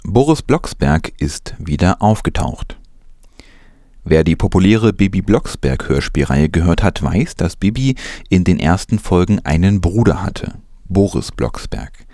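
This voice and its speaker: A man's voice: sits low, animated, unhurried, warm tone, no room sound, studio quality. A man presenting news as scripted narration.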